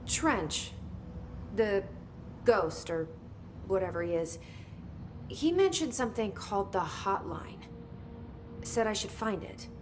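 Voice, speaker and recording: Curious, guarded heroine, mid pitch, animated intonation, terse game dialogue over rumbling noise. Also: female voice, takes it slowly, pauses often. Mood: wary, determined. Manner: terse questioning. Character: wary, curious woman newcomer thrust into agency leadership.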